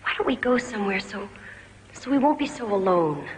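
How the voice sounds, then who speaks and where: A woman's voice: medium pitch, big pitch swings, brisk, natural voice. A woman playing an uneasy, uncomprehending, conventional young woman in an acted dramatic scene. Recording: long hollow reverb, faint noise.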